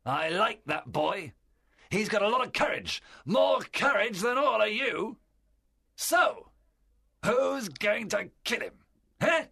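Fierce storybook pirate captain: bright, forceful rasp, wildly swooping, commanding and cunning. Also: male voice, high voice, big pitch swings, no room sound, clean studio sound, voice pushed up, full character voice. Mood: defiant, menacing. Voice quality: strained, gravelly rasp. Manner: theatrical, commanding, drawn-out delivery. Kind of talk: dramatized audiobook character voice.

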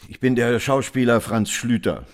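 An actor playing a vain, brusque film star as a customer in a radio play, pompous and easily indignant. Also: male voice, medium pitch, moderate pace, natural voice. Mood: haughty complaint. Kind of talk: German radio play acting.

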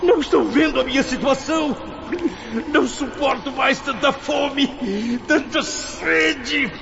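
A man's voice: very high voice, a little slow, creaky, full character voice. An actor playing a blind, wounded man who has taken his own life, frightened and desperate, in a radio drama. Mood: frantic shouting. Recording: dull recording with loud noise behind.

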